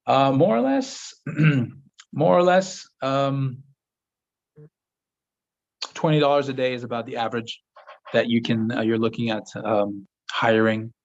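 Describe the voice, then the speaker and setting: A man whose first language is French, hosting a casual live-stream Q&A and answering viewers' questions in a relaxed, rambling way with many fillers. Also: medium pitch, measured pace, long pauses, dull audio. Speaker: host of a live Q&A. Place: home office in Southern Ecuador.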